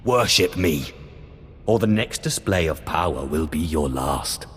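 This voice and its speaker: An actor playing an arrogant, menacing Sith sorcerer in a video game, with an imperious, taunting manner. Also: male voice, very deep voice, animated, a little slow, fairly bright tone, full character voice. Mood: commanding. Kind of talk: video game cutscene acting. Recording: long hall reverb over music.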